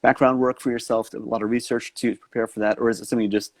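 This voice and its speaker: A man's voice: medium pitch, fairly even intonation, fast talker, darkish tone, dry recording, clean studio sound. A man with a New York accent hosting a live online interview over a video call, talking in long loops full of restarts. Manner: rambling with many restarts. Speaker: host.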